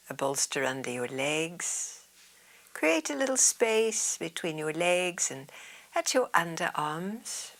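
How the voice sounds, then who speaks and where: A woman yoga teacher leading a guided meditation in a soft, soothing, half-whispered way. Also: low-pitched.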